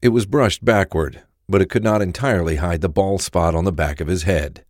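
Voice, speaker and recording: A man's voice: deep voice, a little fast, a little mellow, no room sound, studio quality. A man reading scripted narration for a crime audio drama.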